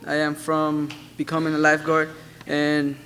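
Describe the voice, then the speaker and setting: A man's voice: fairly high pitch, completely flat delivery, moderate pace, a bit bright, slight background noise. A young man from Ecuador, a student reciting a personal spoken word poem. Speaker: student performer.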